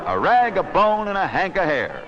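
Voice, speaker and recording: A man's voice: sits high, huge pitch swings, normal speed, a loud crowd. A man delivering scripted 1940s newsreel wrestling commentary in a wisecracking, theatrical, slangy manner, on an old film soundtrack. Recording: noisy, dull old 16mm newsreel soundtrack.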